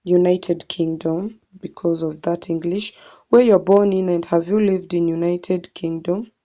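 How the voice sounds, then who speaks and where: Slow-talking Kenyan woman, dull lo-fi sound. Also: fairly low pitch, steady tone, dark tone. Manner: thinking aloud, addressing viewers as guys. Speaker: tutorial vlogger. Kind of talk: screen-recorded walkthrough.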